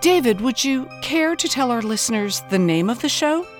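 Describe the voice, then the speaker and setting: A woman's voice: a little high, animated, moderate pace, soft background music. A woman hosting an investigative podcast, narrating and interviewing in an earnest, dramatically pondering way. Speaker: host and interviewer.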